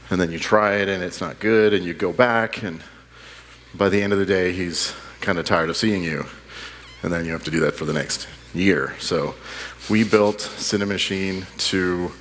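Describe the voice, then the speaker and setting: A man's voice: low voice, fairly bright tone, a little hiss or hum. A middle-aged man giving an informal conference talk in a plain-spoken, anecdotal way. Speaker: head of cinematics, presenter. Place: large indoor conference hall. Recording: echoey hall with long reverb.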